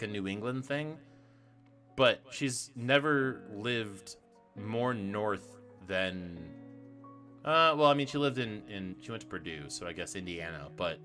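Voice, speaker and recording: A man's voice: fairly low pitch, sharp tone. A young man co-hosting a trivia stream, chatting casually in a rambling, profane way and correcting himself as he goes. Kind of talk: casual chat.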